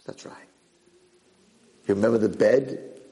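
A man's voice: lowish voice, steady tone, measured pace, dark tone, lightly acted. A man voicing a loyal old male servant in a told story, urging and coaxing in short, insistent phrases. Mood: eager prodding. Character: urgent, loyal old male servant rescuing a lost prince.

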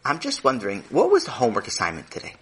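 An exasperated mother interrogates her son, as a male storyteller puts her on, her raised voice husky and bright. She swoops up high with every disbelieving question. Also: wildly swooping pitch, rapid-fire, exaggerated voice. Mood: patient.

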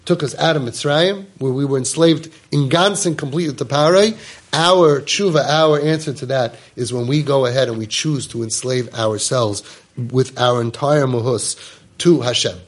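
Husky-voiced rabbi giving an animated class. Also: male voice, medium pitch, normal speed. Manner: animated, mixes Hebrew and Yiddish words into English. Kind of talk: Torah class lecture.

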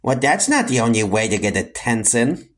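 A man's voice: mid-range voice, sing-song, normal speed. A man playing an earnest, good-natured hero in a children's audio comedy, with a mock-heroic manner. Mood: encouraging.

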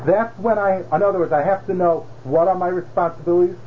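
A man's voice: high-pitched, fairly even intonation, quick pace, slight background noise. A man teaching a religious class, explaining patiently and checking in with rhetorical questions. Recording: dull lo-fi class recording.

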